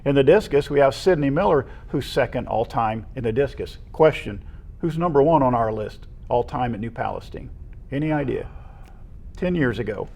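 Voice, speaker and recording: An American man co-hosting a local high school sports show, casually running through names and statistics. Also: animated, moderate pace, gravelly, mellow.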